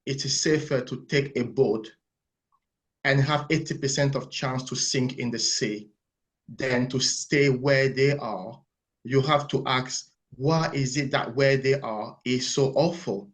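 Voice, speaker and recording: A man's voice: medium pitch, unhurried. A man from Cameroon making a thoughtful, hesitant point in an online church webinar, often restarting words. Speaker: webinar participant. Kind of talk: online discussion contribution. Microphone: video call.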